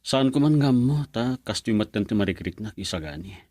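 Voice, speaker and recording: An actor playing a pensive young man in a radio drama, speaking in a low-key, thoughtful way. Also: lively intonation, fairly quick, warm tone, no room sound, studio quality.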